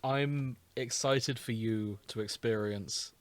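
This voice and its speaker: A man's voice: mid-range voice, takes it slowly, dry recording. A man co-hosting a podcast, chatting casually in a silly, jokey way. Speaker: podcast co-host. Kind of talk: casual chat.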